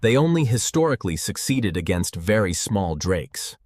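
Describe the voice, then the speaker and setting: A man narrating a scripted second-person story in a deadpan manner. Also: low voice, moderate pace, faint music behind.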